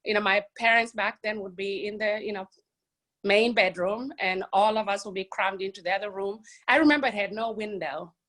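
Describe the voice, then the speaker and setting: A woman's voice: mid-range voice, brisk. Forty-something woman from Kenya. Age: 40s. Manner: earnest, warm storytelling with frequent "you know" and light laughs. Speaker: guest. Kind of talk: casual interview on a live video call. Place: home room with a stack of books behind. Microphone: phone on a video call.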